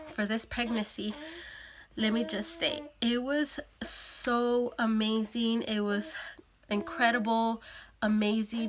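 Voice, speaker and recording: A woman's voice: mid-range voice, bright, forceful tone. A young woman vlogger with a sore throat and a congested nose, chatting casually to camera with lots of fillers. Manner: chatty, with frequent fillers and laughs.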